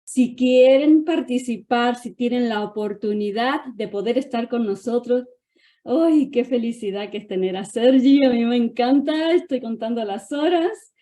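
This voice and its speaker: A woman hosts an online gathering with open affection and plenty of exclamation. Her voice sits fairly high with a soft, mellow tone, and she takes her time as she talks. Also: unhurried. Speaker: host of an online gathering, interviewing a guest. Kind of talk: chatty welcome and announcements. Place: online video call.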